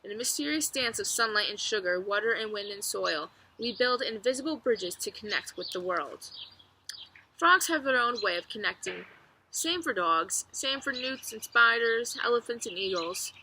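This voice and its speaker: A woman reading a children's book aloud as narrator, expressively, with clear storytelling phrasing and emphasis. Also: a little high, lively intonation, normal speed, pushed and bright, slight background noise, poor audio.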